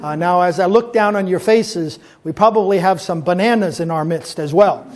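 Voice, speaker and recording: A pastor in his fifties, raised in Taiwan, giving a relaxed talk to young people at a church, telling stories with light jokes and many pauses. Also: high voice, slight background noise. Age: 50s. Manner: relaxed storytelling with gentle jokes and frequent "uh" pauses. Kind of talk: informal talk to a youth audience at a church event.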